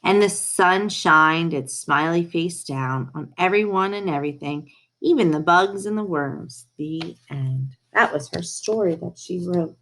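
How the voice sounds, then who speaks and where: A woman reading a children's story aloud in an animated, emphatic way, pausing to comment to her listeners. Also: on the low side. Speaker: storybook reader. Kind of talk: expressive children's read-aloud with asides to listeners.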